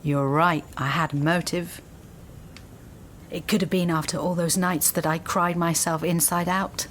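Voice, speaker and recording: A woman's voice: lowish voice, slight background noise, natural voice. A woman acting in a radio drama as a wounded, resentful academic and spurned lover, speaking in a bitter, articulate, emotionally charged way.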